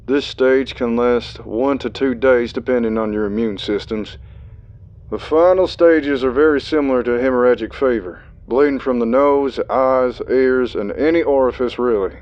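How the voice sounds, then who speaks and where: A man's voice: medium pitch, normal speed, lo-fi sound, voice pushed up, natural voice. A man voicing an older male official who announces in a formal, bureaucratic way.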